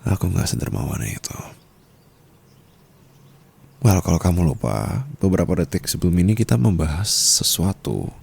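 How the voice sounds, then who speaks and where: A man playing a dominant, teasing yet caring sugar-daddy husband in an intimate ASMR roleplay, murmuring and slipping into whispers. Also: full character voice. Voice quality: very deep, gravelly, husky. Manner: slow, teasing, soft murmurs dropping into whispers.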